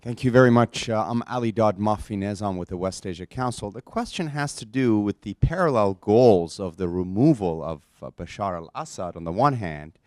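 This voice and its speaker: A man's voice: lowish voice, a little mellow, no echo. A man in the audience asking the panel a question in a formal, polite way.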